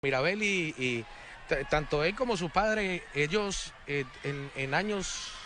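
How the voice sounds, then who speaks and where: A man's voice: fairly high pitch, lively intonation, slow, bright, forceful tone, a little hiss or hum. A man from Colombia giving co-commentary on a youth football match, analytical and prone to restarts.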